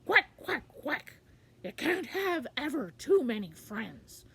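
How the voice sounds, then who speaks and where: A woman voicing a small, indignant male duck in a folktale, loud and quacking, punctuating speech with quacks. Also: sits high, sing-song, slow pace, gravelly, husky, voice pushed up, heavily acted. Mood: loud, insistent. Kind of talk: children's folktale storytelling.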